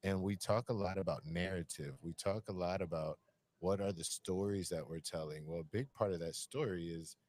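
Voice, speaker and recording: Man, very low deep voice, reflective, searching, conversational. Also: slight background noise. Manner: musing, searching, repeats phrases.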